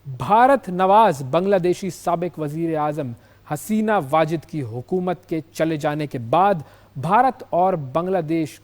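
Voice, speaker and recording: Male news presenter, Pakistani accent, slightly high voice, emphatic explanatory delivery with repeated key phrases. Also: darkish tone. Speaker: news show host.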